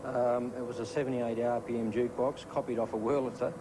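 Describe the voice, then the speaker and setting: A man's voice: mid-range voice, flat delivery, fairly quick, background noise. A man with an Australian accent giving unscripted answers in an interview. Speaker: interviewee, jukebox business owner. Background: music.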